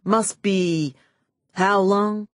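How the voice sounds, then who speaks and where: A woman's voice: medium pitch, steady tone, extremely slow, no room sound, pitched above the performer's own voice. A suave male film star , played by a woman narrator, polite but unsure of himself. He speaks hesitantly, bluffing his way along as an audiobook character, in a dry recording.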